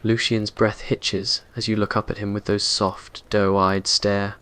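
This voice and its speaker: Low, even-toned man narrating romance. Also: unhurried, smooth. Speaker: story narrator. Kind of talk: scripted dramatic narration, romantic ASMR roleplay.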